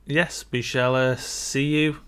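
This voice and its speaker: A man with a bright, forceful tone and a mid-range pitch. He speaks as a podcast co-host, in an informal, conversational style suited to chatting about films and games. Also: level tone, slow, smooth. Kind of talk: casual chat about video game films and games.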